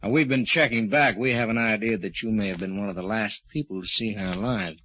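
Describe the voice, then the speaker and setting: Crisp, easygoing radio detective. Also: male voice, on the low side, fairly quick, pushed and bright, no echo. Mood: courteous probing. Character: polite, casual male homicide detective. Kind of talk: 1940s radio acting. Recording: muffled 1940s radio recording.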